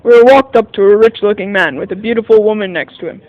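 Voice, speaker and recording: High-voiced man playing a detective's sidekick, radio mystery. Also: fast, no room sound, lo-fi sound, close to an everyday voice. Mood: measured recounting. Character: 1940 American detective's sidekick, narrator recounting a case. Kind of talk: scripted first-person radio mystery narration.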